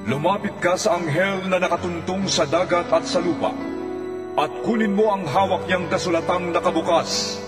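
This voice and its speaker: A man playing a heavenly voice in a Bible audio drama, giving solemn commands in a measured way. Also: sits high, steady tone, music in the background, close to an everyday voice. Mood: solemn, commanding.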